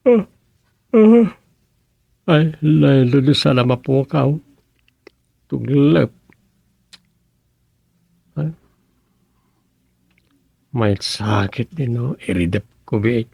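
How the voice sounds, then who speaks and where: A man with an Ilocano accent playing a middle-aged village councilman in a radio comedy drama, with a gravelly, husky voice. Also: medium pitch, a little mellow, no room sound, exaggerated voice.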